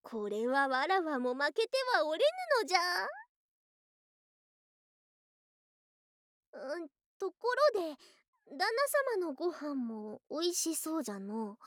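A voice actress playing an affectionate snake-spirit wife in a Japanese ASMR voice drama, with archaic, coy, excitable speech and open devotion. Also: female voice, sits high, moderate pace, no echo, studio quality. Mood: playful, coy. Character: affectionate female lamia snake-spirit wife, girlish yet old-fashioned.

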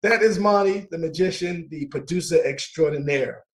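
A middle-aged man hosting a casual talk radio show, warm and laughing easily, often repeating his words. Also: a little high, moderate pace.

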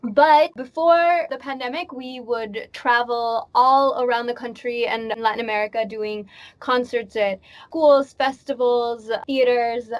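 A woman, a children's music performer, explaining her work clearly as an interview guest. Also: a little high. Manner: articulate, explanatory. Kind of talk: interview conversation.

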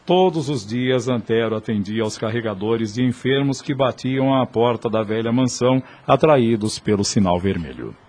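A man's voice: medium pitch, lively intonation, normal speed, hardly any pauses, background noise. A man narrating a radio serial in a scripted, dramatic storytelling style. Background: background music.

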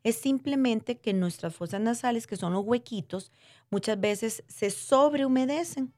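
A woman's voice: fairly high pitch, measured pace, dark tone, no echo. Woman doctor explaining in everyday terms, dry sound. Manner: didactic, uses diminutives and check-in questions. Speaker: doctor interviewed as guest expert. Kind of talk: conversational medical explanation for lay listeners.

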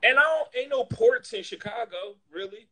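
A man in casual, comedic chat, talking in an animated, slangy, profane joking way. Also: very high voice, moderate pace.

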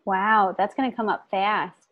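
A woman co-hosting a podcast over a video call, bubbly and quick to laugh, answering with short affirmations, and sounding tired tonight. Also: medium pitch, steady tone, phone-quality sound. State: tired, voice lower than usual. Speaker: podcast co-host. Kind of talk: casual chat.

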